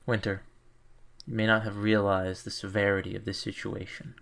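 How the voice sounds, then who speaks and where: A man's voice: on the low side, level tone, normal speed, vocal fry, a bit bright. A man playing a stern, short-tempered mentor lecturing a trainee in a visual novel fan dub. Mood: frank, serious.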